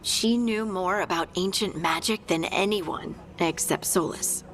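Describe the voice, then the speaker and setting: An actress playing an earnest scout companion in a video game, plain-spoken and matter-of-fact. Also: female voice, lowish voice, unhurried, fairly bright tone, slight background noise.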